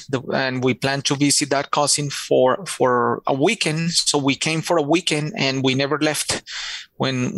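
A man's voice: mid-range voice, fairly bright tone, no room sound. A middle-aged man from Colombia with a Colombian Spanish accent, a podcast guest telling his story in an earnest way and often repeating words. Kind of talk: relaxed storytelling interview.

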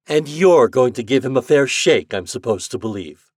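A man voicing a bitter, sharp-tongued young woman who talks in a clipped, hard, mocking way. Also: medium pitch, lively intonation, normal speed, husky, no room sound, clean studio sound, voice pushed up. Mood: scornful.

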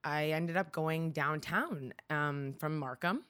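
A woman with a Canadian accent speaks in a low register. She talks as a guest in an interview, recounting personal experiences candidly and reflectively, often checking in with "you know". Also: takes it slowly, fairly bright tone. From Ontario, Canada.

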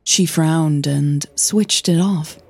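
A woman narrating scripted fiction in a measured, intimate storytelling manner. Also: low voice, vocal fry, husky, no echo. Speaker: audio drama narrator.

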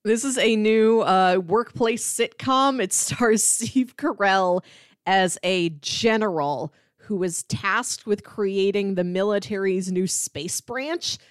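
A woman co-hosting a podcast in casual conversation. Her voice sits at a middling pitch. The sound is dry, with hardly any room on it. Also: takes it slowly, smooth, no room sound. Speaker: podcast co-host. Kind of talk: casual chat, pitching shows.

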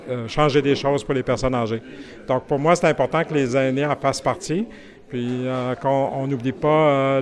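An older man with a Canadian French accent talks in a reflective, hesitant way. His voice sits at a middle pitch, and he pauses often.

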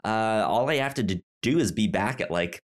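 A man's voice: lowish voice, expressive, moderate pace. A young man hosting a podcast, telling a story in casual chat and often stammering over repeated syllables and words. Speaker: podcast host.

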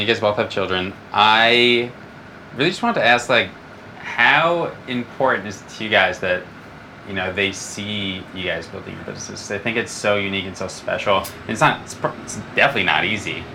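A man's voice: fairly low pitch, normal speed, pushed and bright, slight background noise, low-quality recording. A man hosting a podcast, asking long, winding questions full of hedges and restarts. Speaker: podcast host. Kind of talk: interview questions.

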